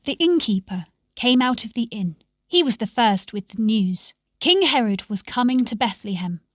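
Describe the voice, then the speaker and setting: A woman reading a story aloud in a measured, expressive way, with phrases that often sink into a hush. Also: fairly high pitch, no echo, clean studio sound. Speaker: story reader. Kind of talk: scripted storytelling narration.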